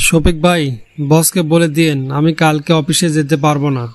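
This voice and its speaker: A man's voice: fairly high pitch, flat delivery, brisk, no echo, lightly acted. A man playing a reluctant, grumbling married neighbour in a cartoon fairy tale. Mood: fed up.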